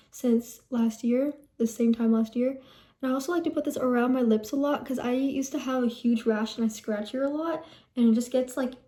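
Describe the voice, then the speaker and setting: A woman's voice: a little high, warm tone. Chatty young woman in an echoey room. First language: Telugu heritage speaker, English first language. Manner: chatty, rambling, self-correcting with many "like"s. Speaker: vlogger. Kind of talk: casual skincare routine vlog.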